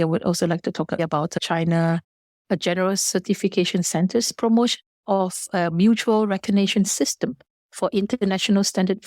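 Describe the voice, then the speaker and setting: A woman's voice: lowish voice, darkish tone, no room sound, clean studio sound. A woman from Malaysia giving formal, prepared, explanatory answers as a business guest on a podcast.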